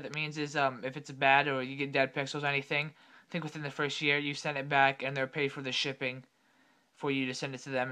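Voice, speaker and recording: A young man with a slightly raspy voice from being sick, casually talking through an unboxing at his desk with lots of fillers and self-corrections. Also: fairly high pitch, bright, forceful tone. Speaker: tech unboxing vlogger. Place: home room at a desk.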